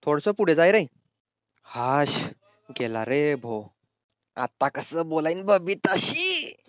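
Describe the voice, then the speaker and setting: A man plays a brash, lecherous village brother-in-law in a cartoon. He banters gruffly in rural Ahirani from north Maharashtra, teasing as he goes. The voice is dry and slightly dull, like a lo-fi track. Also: on the high side, very animated, slow pace, pushed and bright, no echo, heavily acted. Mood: impatient. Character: lecherous, brash brother-in-law visiting his wife's family. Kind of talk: crude comedy cartoon dialogue.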